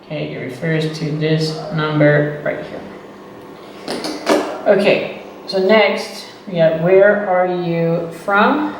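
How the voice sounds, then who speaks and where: A man, teaching beginner English slowly and patiently, repeating phrases and checking in with "okay" and "right". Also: a little high, steady tone. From Texas. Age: 30s. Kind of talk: slow, deliberate beginner English lesson. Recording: echoey room, voice far from the mic, faint noise.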